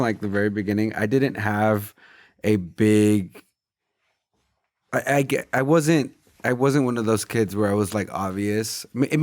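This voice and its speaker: Low-voiced man telling stories hesitantly. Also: no echo. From Mexico. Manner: hesitant, lots of fillers and restarts while telling personal stories. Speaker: podcast co-host. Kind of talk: casual chat.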